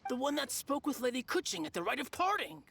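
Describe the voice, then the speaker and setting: A woman's voice: high-pitched, very animated, quick pace, vocal fry, fairly bright tone, a little hiss or hum, exaggerated voice. A woman voicing an impressed, gossiping female onlooker at a tournament in a video game. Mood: amazed. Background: background music.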